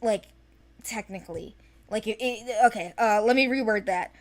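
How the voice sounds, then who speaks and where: A woman talking casually and off the cuff as the creator of an animated series briefing people who want to audition. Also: on the high side, moderate pace. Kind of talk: casual unscripted discussion.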